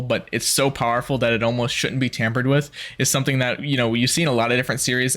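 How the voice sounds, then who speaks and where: A man's voice: medium pitch, a bit bright. Casual young man reacting. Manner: casual, musing, loose fillers. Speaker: reaction video host.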